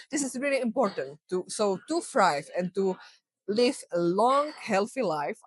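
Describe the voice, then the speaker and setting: A woman's voice: mid-range voice, dry recording, rough recording. A young adult woman with a Czech accent, a guest health coach talking earnestly in a casual podcast interview, with many fillers. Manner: earnest, encouraging, many "you know" fillers.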